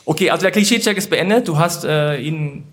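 Playful quick-talking German male host. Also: fairly high pitch, slight background noise. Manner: playful, quick, polls the audience. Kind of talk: casual live-audience interview.

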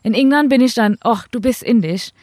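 A woman's voice: a little high, a little fast, a little mellow, dry recording, clean studio sound. A young woman from India with an Indian accent, a cricket player answering interview questions in simple, hesitant German full of fillers.

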